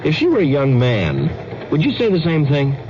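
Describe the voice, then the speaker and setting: A man's voice: fairly high pitch, wildly swooping pitch, moderate pace. An actor playing a proud, skeptical middle-aged father in an old radio announcement. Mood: indignant. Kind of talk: 1970s radio public service announcement acting. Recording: dull, noisy 1970s radio recording.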